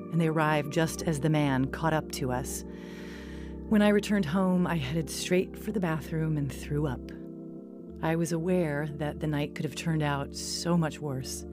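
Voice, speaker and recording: Young woman, lower pitch, troubled, earnest, confessional, dramatized testimony, music bed. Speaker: narrator and lead actor in audio drama. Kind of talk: first-person dramatized testimony narration. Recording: music bed under studio voice.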